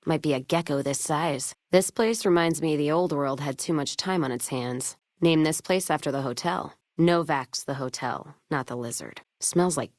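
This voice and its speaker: A woman's voice: low-pitched, normal speed, few pauses, darkish tone, no room sound, studio quality, close to an everyday voice. A woman playing a blunt, hard-drinking, foul-mouthed wasteland companion in a video game, wry and wary, with clipped, folksy phrasing.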